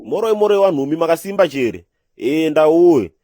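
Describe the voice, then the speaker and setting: A man's voice: a little high, expressive, normal speed, mellow, a little hiss or hum, close to an everyday voice. A man voicing a folksy cartoon character who appeals to viewers in a rhythmic, rambling patter. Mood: jovial. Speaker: channel character asking for subscriptions.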